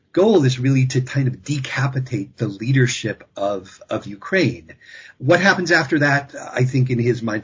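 A man speaking as an expert guest on a panel discussion, measured and thinking aloud, often restarting his sentences. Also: medium pitch, slightly rough, lo-fi sound.

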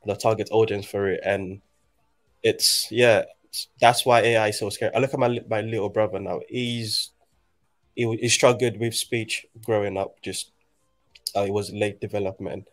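A man in his late twenties, raised in Nigeria, speaks in a fairly low voice. He talks thoughtfully and haltingly, restarting his sentences as he works through his ideas in a relaxed conversation. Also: faint music behind. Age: 20s. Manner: reflective, halting, many restarts. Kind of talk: casual conversation.

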